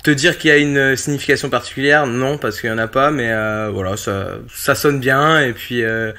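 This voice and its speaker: Bright-voiced man in his early thirties. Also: mid-range voice, moderate pace. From western France. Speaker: podcast guest, streamer. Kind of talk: casual chat.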